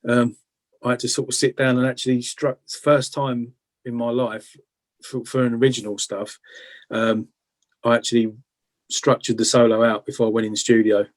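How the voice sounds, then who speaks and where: A man's voice: mid-range voice, flat delivery, moderate pace. A British man chatting casually and informally as a guitarist being interviewed, often adding tag questions. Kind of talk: casual chat about music-making.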